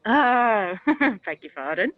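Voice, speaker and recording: A woman's voice: mid-range voice, very animated, slow pace, no room sound, narrow, tinny audio. A woman playing a cheery, slightly dim waitress in a comic radio play. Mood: chatty.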